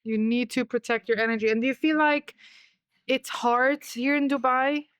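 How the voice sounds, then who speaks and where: A woman's voice: high voice, steady tone, moderate pace, a bit bright, smooth, no echo, studio quality. A woman hosting an interview podcast, talking reflectively and asking her guest questions. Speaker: podcast host. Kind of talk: casual interview chat.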